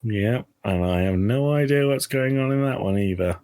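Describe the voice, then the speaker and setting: A man's voice: mid-range voice, normal speed, a little mellow, smooth. A man co-hosting a podcast, chatting casually about films in an irreverent, self-deprecating way. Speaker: podcast co-host. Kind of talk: casual film chat.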